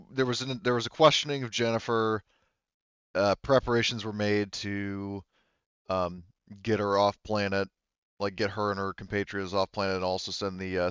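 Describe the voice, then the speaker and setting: A man speaking casually and haltingly as game master, recapping and narrating a tabletop roleplaying game. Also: fairly low pitch, a bit bright, clean tone, no room sound, muffled recording. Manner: halting, hesitant.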